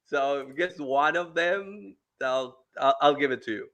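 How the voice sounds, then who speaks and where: A man's voice: fairly high pitch, a little slow, fairly bright tone. A man from Central America co-hosting a casual show, playful and teasing. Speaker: co-host. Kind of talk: casual chat.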